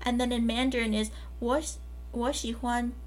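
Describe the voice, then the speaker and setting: A woman's voice: fairly high pitch, level tone, brisk, low-quality recording. A woman from Guangzhou, a native Cantonese speaker, co-hosting a podcast, talking in a hesitant way with many fillers and restarts. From Guangzhou, China. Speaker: podcast co-host. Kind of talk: casual chat.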